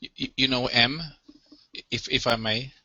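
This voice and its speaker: A man's voice: mid-range voice, little pitch movement, measured pace, bright, forceful tone, no room sound, muffled recording. A man from Sweden with a Swedish accent, speaking English as a second language, hesitant and searching for words. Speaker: caller. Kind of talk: halting debate.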